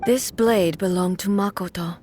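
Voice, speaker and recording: An actress playing a proud, stern immortal warrior woman in a video game, speaking formally and gravely with deliberate phrasing. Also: mid-range voice, a little mellow, a little hiss or hum, lightly acted. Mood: solemn, resolute.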